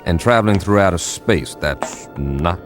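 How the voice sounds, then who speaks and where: A man playing an irritable, weary space traveller in an audio drama, gravelly and sardonic, with dramatic emphasis. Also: low voice, expressive, a little slow, faint music behind. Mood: sardonic, irritated. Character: weary, irritable male space traveller confined aboard ship. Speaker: lead actor in an audio drama. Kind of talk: radio drama dialogue and first-person narration.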